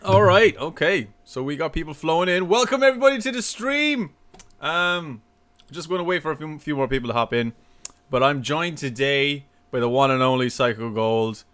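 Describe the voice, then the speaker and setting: A man's voice: on the high side, lively intonation, normal speed, fairly bright tone. Upbeat man hosting a live stream. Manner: upbeat, reads out chat names. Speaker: podcast host. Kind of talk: casual live-stream chat.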